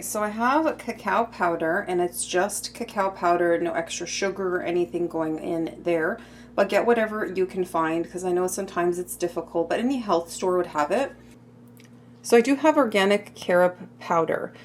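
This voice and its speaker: A woman filming a casual cooking video in her home kitchen, chatty and full of little asides, her voice a bit hoarse. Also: fairly low pitch, slight background noise. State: slightly hoarse. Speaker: cooking vlogger.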